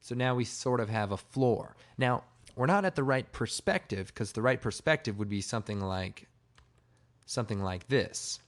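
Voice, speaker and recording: A man with a slightly hoarse, raspy voice giving a casual, informal software tutorial, explaining step by step. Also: mid-range voice, moderate pace. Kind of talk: casual screen-recorded tutorial narration.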